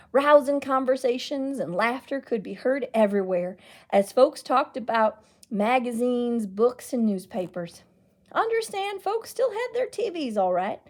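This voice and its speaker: A woman reading a picture book aloud as a storyteller, with expressive, emphatic delivery. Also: sits high. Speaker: children's librarian storyteller.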